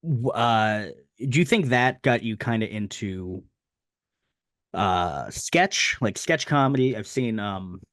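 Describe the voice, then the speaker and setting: Halting New Jersey podcast host. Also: male voice, on the low side, lively intonation, a bit bright, no room sound, clean studio sound. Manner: halting, many fillers and restarts, laughs easily. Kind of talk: casual podcast interview.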